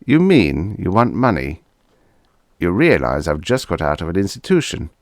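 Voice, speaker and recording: Male reader as anxious young widower, pleading, excitable, animated pitch, pulp sci-fi story character, dry close sound. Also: normal speed, dry recording. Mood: eager, nervous. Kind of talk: vintage science fiction audiobook character.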